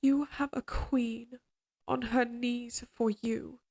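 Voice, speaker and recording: A woman voicing an obsessively devoted, quietly menacing evil queen in an audio drama, speaking in a formal, measured, imperious way. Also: on the high side, steady tone. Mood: pleading devotion. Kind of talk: scripted dramatic audio roleplay.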